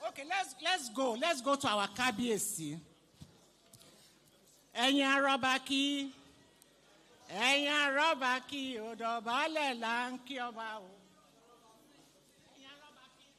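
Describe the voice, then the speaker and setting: A man's voice: very high voice, unhurried, pauses often, pushed and bright. A Nigerian man working as master of ceremonies at a live event, playfully repeating himself and calling out to guests in an echoey hall. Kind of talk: live hosting at a fundraising book launch. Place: large event hall, on a PA microphone. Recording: echoey hall public address.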